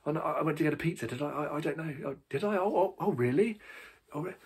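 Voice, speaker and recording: A man acts out a pompous, flustered gentleman in a casual mocking impression. The pitch is raised and slightly husky, and the words stumble out evasively, full of feigned bewilderment. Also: expressive, fast, exaggerated voice.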